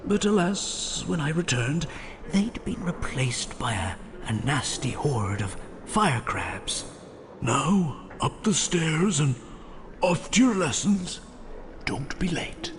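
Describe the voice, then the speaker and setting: An actor playing a kindly elderly wizard headmaster in a video game, measured and whimsical. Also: male voice, fairly high pitch, big pitch swings. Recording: long hall reverb, clear ambient noise.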